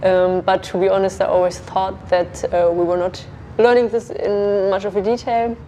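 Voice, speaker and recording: Mellow-voiced young woman, candid and tentative. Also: mid-range voice, level tone, moderate pace, slight background noise. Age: young adult. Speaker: coding bootcamp student. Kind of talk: personal documentary interview.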